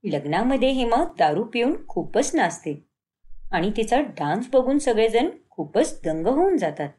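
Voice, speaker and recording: A woman narrates a scripted story. Her voice sits fairly high and swings widely in pitch, in the manner of an animated storyteller. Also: moderate pace.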